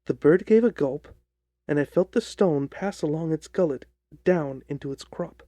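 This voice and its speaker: A man narrating a story as an audiobook reader, in a measured, deliberate, dramatic style. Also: fairly high pitch, lively intonation, dark tone, no echo.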